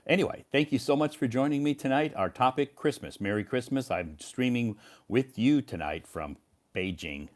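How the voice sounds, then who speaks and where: A middle-aged man from Iowa, live-streaming a casual chat with English learners, speaking slowly and repeating words clearly. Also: medium pitch, lively intonation. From Iowa, United States. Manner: slow, repetitive, clearly enunciated for learners.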